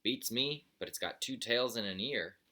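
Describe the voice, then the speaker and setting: A man's voice: mid-range voice, normal speed, bright, forceful tone, voice pushed up. A man voicing a dim, sassy young son buzzard, plaintive and folksy, in a children's storybook. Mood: puzzled.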